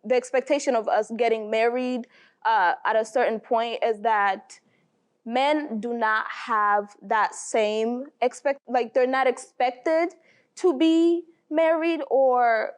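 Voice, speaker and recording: Animated young woman with a higher voice. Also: slow, clear, resonant tone. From Tanzania. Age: 20s. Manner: animated, searching for words with fillers. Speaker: panel guest. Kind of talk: casual discussion.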